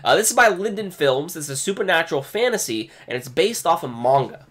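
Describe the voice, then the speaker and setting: A man chatting loosely on a live stream, full of ums and restarts, with a lively voice that rises and falls a lot. His voice sits a little high for a man and is turning hoarse as he keeps talking. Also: fairly quick. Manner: chatty, many fillers and restarts, reads text aloud. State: losing his voice. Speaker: anime streamer. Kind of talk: casual live stream commentary.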